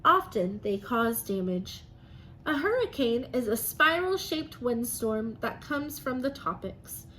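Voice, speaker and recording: A woman's voice: on the high side, big pitch swings, takes it slowly, sharp tone, rough recording. A woman teacher reading aloud and explaining science to young children in simple, encouraging phrases. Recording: noticeable background noise.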